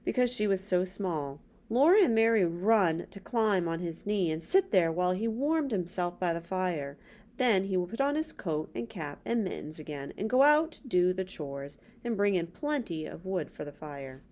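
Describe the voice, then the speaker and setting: A woman's voice: lowish voice, big pitch swings, moderate pace, a little mellow. A middle-aged woman hosting a children's read-aloud, reading a story and adding casual asides. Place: small indoor room with bookshelves.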